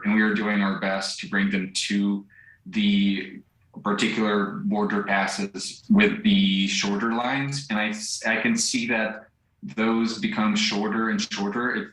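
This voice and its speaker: Halting Ukrainian man, echoey remote link. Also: lowish voice, fairly bright tone. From central Ukraine. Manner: halting, searches for words, restarts. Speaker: volunteer driver interviewed live. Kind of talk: live remote news interview.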